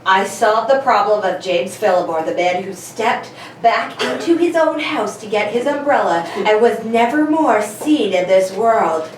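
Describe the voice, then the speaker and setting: A woman's voice: mid-range voice, lively intonation, unhurried, hardly any pauses, close to an everyday voice. A woman playing a confident, clever young male detective in an amateur comedic radio play reading. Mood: assured. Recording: echoey room, distant mic, faint noise.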